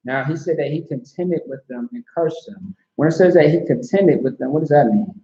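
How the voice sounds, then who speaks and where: Man, scripture teacher, emphatic, repetitive, slightly high voice, roomy dull recording. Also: animated, fairly quick, warm tone. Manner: emphatic, repetitive, asks "see what I'm saying". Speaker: class instructor.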